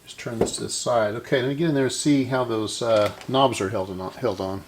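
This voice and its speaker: Male repair-video host, gravelly creak, casual thinking-aloud delivery, faint background noise. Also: medium pitch, brisk. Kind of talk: casual hands-on narration while working.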